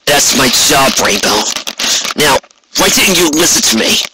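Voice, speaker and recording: A man's voice: fairly high pitch, lively intonation, unhurried, background noise. A man voicing a weary, wounded male pony guard captain, proud and dutiful, speaking in a tired, firm and terse way.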